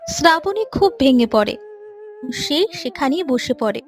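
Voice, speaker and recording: A woman's voice: sits high, expressive, a little slow, faint music behind. A woman narrator reading a scripted storybook-style moral tale for an animation.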